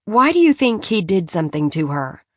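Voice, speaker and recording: A woman's voice: medium pitch, very animated, normal speed, no echo, studio quality. A woman reading an audiobook, voicing a polite female investigator who asks probing questions.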